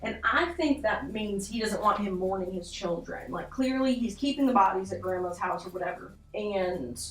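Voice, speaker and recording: A woman's voice: mid-range voice, normal speed, fairly bright tone. Young woman commentating casually in an echoey room. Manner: informal, sweary, self-deprecating asides. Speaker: video essay host. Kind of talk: casual horror analysis commentary. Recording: roomy echo, distant mic, music underneath.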